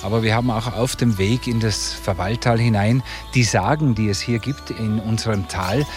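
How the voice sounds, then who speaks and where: A man's voice: fairly low pitch, normal speed, music in the background. A man from Tyrol with a Tyrolean Austrian accent, talking conversationally as a local guide. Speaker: local guide being interviewed. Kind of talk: conversational guided commentary on the region.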